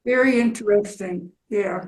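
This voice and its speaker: A woman's voice: mid-range voice, moderate pace, darkish tone. An older woman talking casually in a group conversation as a community theater participant.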